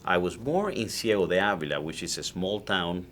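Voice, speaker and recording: A man in his forties with a Cuban Spanish accent, a native Spanish speaker telling his story as a guest in a casual interview, animated and full of restarts. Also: low-pitched, moderate pace, slight background noise. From Cuba. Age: 40s. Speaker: guest, cigar maker.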